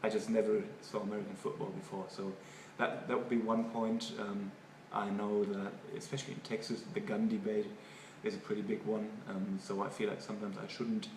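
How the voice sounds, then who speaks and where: A man from Germany with a German accent, a native German speaker, giving halting, thoughtful interview answers and often repeating words. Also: fairly low pitch, little pitch movement. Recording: echoey room, far from mic, noisy.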